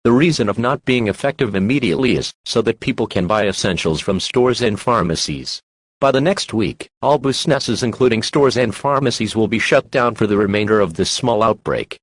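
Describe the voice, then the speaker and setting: A man voices a male government alert announcer with a medium-pitched voice. He reads a scripted emergency message in an official, impersonal way. The recording is close and dry. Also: no room sound, natural voice.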